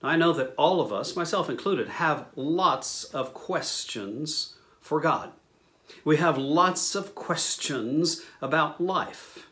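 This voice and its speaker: A man in his sixties preaching a sermon as a pastor, with measured, deliberate phrasing and pauses. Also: a little high, lively intonation, bright, forceful tone. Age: 60s. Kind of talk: sermon, teaching scripture to camera. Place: small indoor room, speaking to camera.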